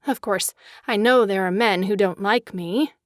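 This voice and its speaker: An actress playing an elegant young socialite in a dramatized audiobook, refined, expressive and coquettish, discontented yet charming. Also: female voice, medium pitch, measured pace, no echo, studio quality, natural voice. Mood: frank, coaxing.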